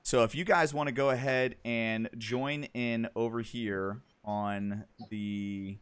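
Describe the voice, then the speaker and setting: A man's voice: medium pitch, fairly even intonation, takes it slowly, smooth. A man hosting a livestream, interviewing a guest and then calling players into custom matches in an upbeat, directive way. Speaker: stream host.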